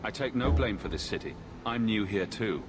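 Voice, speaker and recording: A man's voice: fairly low pitch, animated, moderate pace, noisy background, lightly acted. An actor playing a direct, questioning male human adventurer in fantasy video game dialogue. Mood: challenging. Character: adult male human adventurer, frank and challenging. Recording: game audio with clear background ambience.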